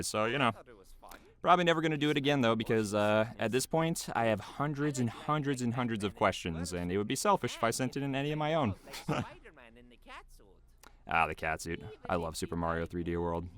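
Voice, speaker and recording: A man's voice: lowish voice, fairly bright tone. A man giving casual behind-the-scenes commentary as a video creator, rambling loosely in a small homemade booth.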